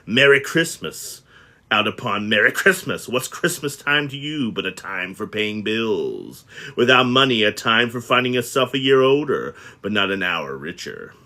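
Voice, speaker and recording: A man voicing a grumpy, miserly old man in a storybook reading, gruff and scornful. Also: fairly low pitch, very animated, normal speed, heavily acted. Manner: gruff, scornful, sharp outbursts.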